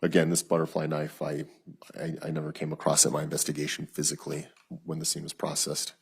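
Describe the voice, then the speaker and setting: An American man testifies in court as a detective. His voice is very deep, husky and full of fry. He speaks formally and haltingly, laying out details in a matter-of-fact way. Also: completely flat delivery. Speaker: police detective testifying as a witness.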